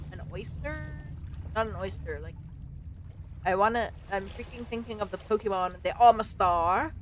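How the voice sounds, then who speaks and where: Slow-paced woman streamer reacting casually. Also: mid-range voice, background noise. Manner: short exclamations, informal reactive commentary. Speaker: game streamer. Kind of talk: casual let's-play reactions.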